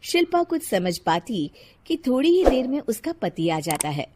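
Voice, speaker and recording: A woman narrating a cartoon story in a soft, even storytelling delivery. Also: on the high side, normal speed, heavy background noise.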